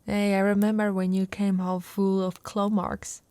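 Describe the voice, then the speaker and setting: Casting: woman to play a brawny female orc wife for ASMR roleplay; unhurried, teasing, intimate delivery with whispered dips, recorded close and dry. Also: mid-range voice, level tone, warm tone, no room sound, lightly acted. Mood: playful, boastful. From Italy. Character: brawny affectionate female orc warrior wife, playfully boastful.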